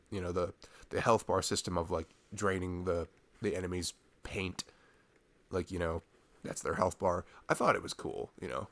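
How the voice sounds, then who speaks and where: Low creaky-voiced man, casual boyfriend ASMR. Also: bright, forceful tone, no echo. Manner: informal ranting, breaking into chuckles and sighs. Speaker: audio roleplay performer playing a boyfriend. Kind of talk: rambling intimate ASMR boyfriend chat.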